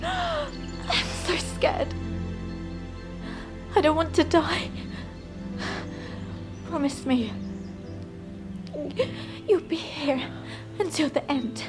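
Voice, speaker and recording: High, fragile pleading girl. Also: huge pitch swings, full character voice. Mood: pleading, scared. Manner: slow, pleading, fragile delivery. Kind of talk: intimate audio drama acting. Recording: music under the voice.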